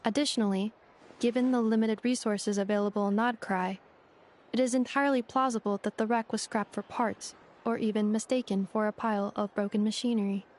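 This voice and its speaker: A woman's voice: medium pitch, fairly even intonation, a little fast, a little mellow, natural voice. A woman voicing a polite, helpful young female robot in a video game, speaking precisely and formally. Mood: composed.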